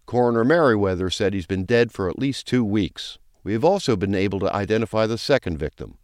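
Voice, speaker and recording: A man's voice: fairly low pitch, a little mellow, smooth, no room sound, clean studio sound, close to an everyday voice. A man playing a brusque, foul-mouthed, sarcastic police chief in a crime audio drama. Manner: brusque, commanding, crude briefing delivery.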